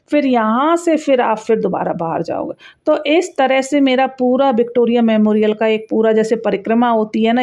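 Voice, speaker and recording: A woman's voice: on the high side, a little mellow. A woman giving a casual, chatty travel vlog voice-over, explaining sights directly to viewers. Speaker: travel vlogger narrating a sightseeing tour. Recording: dull, muffled lo-fi sound.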